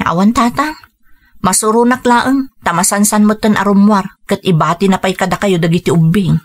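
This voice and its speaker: A woman's voice: mid-range voice, moderate pace, close to an everyday voice. A woman with an Ilocano accent playing a weary, hurt working wife and mother in a radio drama, dramatic and reproachful. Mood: downcast. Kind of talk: radio drama acting with narration.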